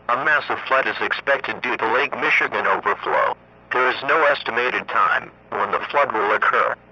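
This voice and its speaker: A man reading scripted emergency weather warnings in a formal, monotone synthetic voice. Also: medium pitch. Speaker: emergency alert announcer. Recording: muffled lo-fi radio broadcast, faint noise.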